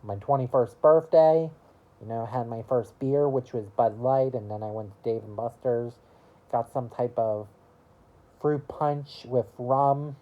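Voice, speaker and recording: A woman in her early twenties chatting casually to camera about her year, rambling and halting, with lots of "you know" fillers. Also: a bass voice, phone-quality sound. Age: early 20s. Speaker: vlogger.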